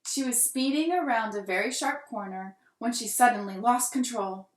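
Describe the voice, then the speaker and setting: A woman reading a children's picture book aloud with deliberate, dramatic emphasis and expressive delivery. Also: a little high. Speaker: children's storybook reader. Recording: roomy, echoing room sound.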